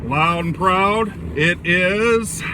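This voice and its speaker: Man, car and road noise, highish voice, loud, blunt, opinionated, casual sports rant. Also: very slow. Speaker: vlogger giving football commentary. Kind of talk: casual rambling sports rant recorded while driving. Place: inside a moving car. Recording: clear vehicle and road noise.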